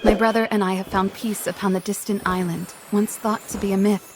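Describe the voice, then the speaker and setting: An actress playing a gentle, hopeful young woman reading out a letter in a fantasy game, tender and formal. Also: mid-range voice, flat delivery, brisk, natural voice. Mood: tender, wistful. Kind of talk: fantasy game cutscene narration. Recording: studio voice over background music.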